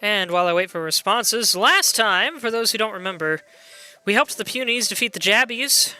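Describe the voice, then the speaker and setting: A young adult game streamer with a loud voice, chatting casually and excitably while playing and greeting viewers. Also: medium pitch, normal speed. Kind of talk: casual live gameplay chat.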